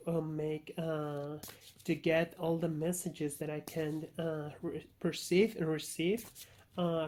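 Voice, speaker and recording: A man with a Spanish accent speaks as an oracle card reader addressing viewers. His delivery is flat in pitch and halting. He often searches for words, as Spanish is his first language. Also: slight background noise. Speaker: tarot and oracle reader, channel host. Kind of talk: informal spoken introduction to an oracle card reading.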